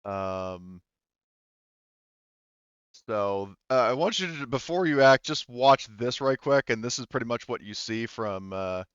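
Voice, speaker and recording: A man's voice: medium pitch, expressive, a little slow, a bit bright, smooth, no echo, lo-fi sound. Male game master, clean resonant tone, casual and profane, close dry sound. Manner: profane, informal. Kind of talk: casual tabletop game narration, online session.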